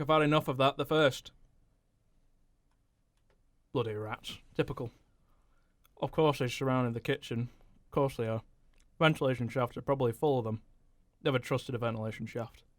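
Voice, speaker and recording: An actor playing a grumbling, furtive ship's cook, muttering to himself in a radio drama monologue. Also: male voice, mid-range voice, no room sound, natural voice.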